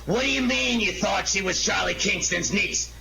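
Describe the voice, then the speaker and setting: A man's voice: sits high, moderate pace, gravelly, over music. A man playing an irate, sarcastic police inspector in a 1940s-style radio detective drama, scolding and blustering. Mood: suspicious, blustering.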